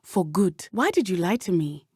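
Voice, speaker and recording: A woman's voice: on the low side, sing-song, moderate pace, mellow, slightly rough, no echo, clean studio sound. A woman playing an earnest, brave teenage schoolgirl witch in a video game, speaking in formal, precise phrases. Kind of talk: video game character dialogue.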